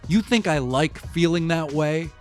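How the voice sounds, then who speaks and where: A young male eyewitness played by a man in an audio drama monologue, with a fairly high voice over music. He is rattled and defensive yet wry, confiding his story in loose, profane street talk. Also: measured pace, natural voice. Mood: defensive, shaken. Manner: casual, slangy, profane, confiding. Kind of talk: first-person audio fiction monologue, conversational storytelling.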